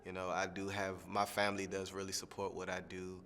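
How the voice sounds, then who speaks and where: A young man, a singing contestant, answering interview questions in a relaxed, rambling way with lots of fillers. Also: sits low, brisk, long reverb tail, a little hiss or hum. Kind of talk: press junket soundbite interview.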